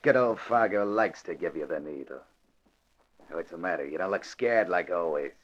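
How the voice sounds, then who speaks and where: A man's voice: low-pitched, lively intonation, normal speed, a little hiss or hum. An actor playing a cruel, taunting thug guard in a 1940s radio drama, gloating tough-guy talk. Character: sneering thug male guard who enjoys cruelty. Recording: dull lo-fi old radio recording.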